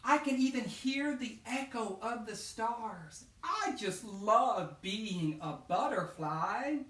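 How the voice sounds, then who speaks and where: A man voicing an exultant young male butterfly in a children's storybook, making drawn-out exclamations full of wonder. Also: high-pitched, animated, sharp tone, voice pushed up, exaggerated voice. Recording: roomy echo, distant microphone.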